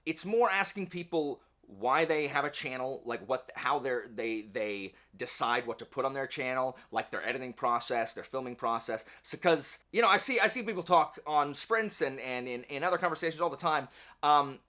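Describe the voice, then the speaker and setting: Man, bright voice, casual vlog host, rambling, wry, self-deprecating, talking to viewers. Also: medium pitch, expressive, moderate pace. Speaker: YouTube book channel host. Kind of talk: casual channel update to camera. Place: indoor home office.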